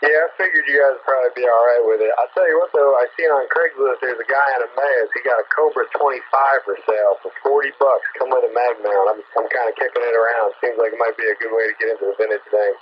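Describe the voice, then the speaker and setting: A man's voice: mid-range voice, steady tone, fast, few pauses. A man chatting casually over a two-way radio in a folksy, colloquial way. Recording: muffled CB radio with faint static.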